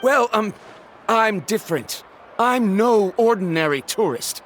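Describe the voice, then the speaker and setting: An actor playing a polite, determined scholar hiring a guide in a video game. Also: male voice, high voice, expressive, measured pace, fairly bright tone, a little hiss or hum. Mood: flustered. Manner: formal, polite, resolute. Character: earnest, determined young male scholar.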